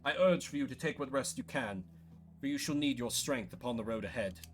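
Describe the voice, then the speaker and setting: A man's voice: medium pitch, a little fast, natural voice. A man voicing a grave, courtly game exile who speaks formally and solemnly. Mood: courteous concern. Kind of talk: video game character voice.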